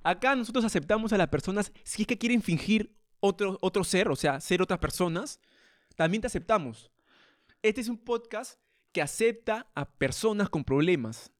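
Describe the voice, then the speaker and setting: A man's voice: sits high, expressive, normal speed, dry recording, clean studio sound. A young man co-hosting a podcast in casual banter, rambling and joking and stumbling over his words. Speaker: podcast co-host.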